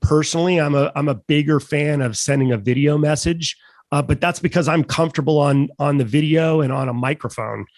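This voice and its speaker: Guy with a gravelly croaky voice, easygoing sales panel chat, dry close sound. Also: fairly high pitch, darkish tone, dry recording. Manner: measured, folksy, with many fillers. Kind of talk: casual panel discussion.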